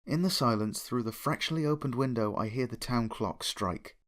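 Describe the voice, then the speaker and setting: Male narrator, medium pitch, dramatic story reading, scripted. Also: a little fast, a bit bright. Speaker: fan fiction reader, narrator.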